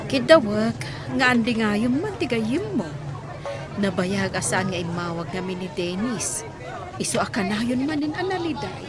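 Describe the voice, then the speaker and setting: Woman acting a worried Ilocano village mother, animated. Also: mid-range voice, moderate pace, loud music behind the voice, over a noisy crowd, close to an everyday voice. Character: worried doting village mother of a lovesick daughter. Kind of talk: Ilocano radio drama acting.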